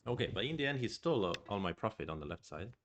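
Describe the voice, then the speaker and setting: A man's voice: lowish voice, sing-song, racing, a bit bright, a little hiss or hum. A man with a Swedish accent streams his go game, thinking aloud in a hesitant, musing way. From Sweden. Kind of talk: live-streamed game commentary.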